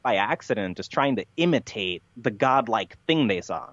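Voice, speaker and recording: A man's voice: fairly low pitch, animated, moderate pace, fairly bright tone, no echo, dull audio. A man being interviewed in a casual conversation, telling things in a rambling, matter-of-fact way. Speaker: podcast guest, interviewee.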